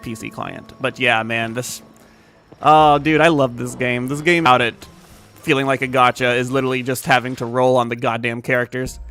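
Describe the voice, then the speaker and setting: A young man talks loosely to his stream viewers in a bright, forceful voice, swearing casually and trailing off into fillers and restarts. Faint music plays underneath him. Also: mid-range voice. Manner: laid-back and profane, with frequent fillers and laughs. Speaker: game streamer.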